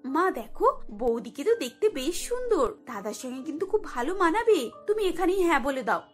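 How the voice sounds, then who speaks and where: Woman acting young cartoon sister, cheerful, urging, high voice, faint music. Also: very animated, normal speed.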